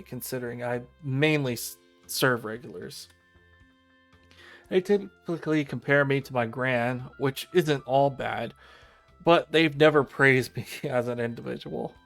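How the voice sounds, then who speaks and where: A man's voice: mid-range voice, vocal fry, fairly bright tone. A man reading a visual novel aloud on a livestream, narrating and stumbling over lines with casual asides.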